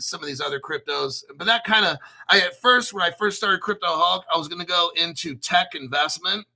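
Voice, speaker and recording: A man's voice: on the high side, vocal fry, pushed and bright, low-quality recording. A man hosting a casual crypto show, talking bluntly and profanely, rambling with restarts. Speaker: crypto channel host. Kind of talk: casual livestream chat.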